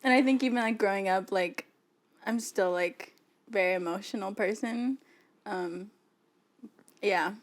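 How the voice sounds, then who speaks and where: A woman's voice: medium pitch, unhurried, pauses often. A woman in her early twenties chatting casually as a guest on a podcast. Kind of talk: casual chat.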